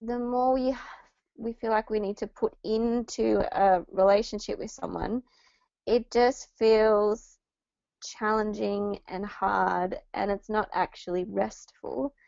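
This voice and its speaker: A woman's voice: mid-range voice, fairly even intonation, takes it slowly, gravelly, darkish tone, dry recording, muffled recording. A woman co-presenting a reflective personal discussion, tentatively searching for her words. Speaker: church ministry co-presenter.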